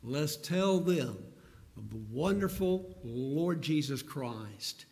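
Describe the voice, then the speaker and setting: A man's voice: on the high side, animated, darkish tone, husky. A middle-aged male pastor earnestly pleading with his congregation from the pulpit, recorded on a pulpit microphone in a large church sanctuary. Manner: earnest, pleading appeals with pauses. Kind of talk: sermon invitation and prayer. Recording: long sanctuary reverb.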